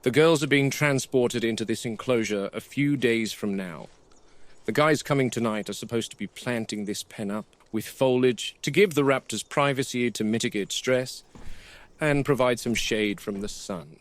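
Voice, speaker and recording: An actor playing a gruff, wary game warden, speaking in a measured, sardonic way as if recording a log for a found-footage horror film. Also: male voice, mid-range voice, no echo, natural voice. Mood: dry, explanatory.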